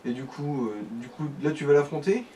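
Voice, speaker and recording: A man's voice: a little high, lively intonation, brisk, warm tone. A man giving casual game commentary as a co-host, explaining things informally with hesitant phrasing. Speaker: let's play co-commentator, guide. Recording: distant mic, faint background noise.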